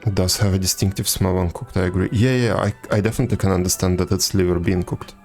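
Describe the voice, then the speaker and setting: A man with a low voice talks casually to viewers while streaming a game. His delivery is halting, with repeated words, and faint music plays behind him. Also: steady tone, gravelly, a little mellow. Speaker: video game livestreamer. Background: video game music.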